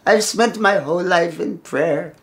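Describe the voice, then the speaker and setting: Pitiful old beggar played by a storyteller, bent and hungry, quavering, drawn-out pleas, gravelly edge. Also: mid-range voice, close to an everyday voice. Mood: pleading. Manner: slow, drawn-out pleading.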